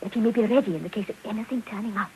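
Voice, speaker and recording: A woman's voice: mid-range voice, rapid-fire, a little mellow, lightly acted, poor audio. A woman playing a prim, melodramatic, devoted middle-aged wife in a theatrical 1950s radio drama. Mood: genteel, proud. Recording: hissy old radio broadcast.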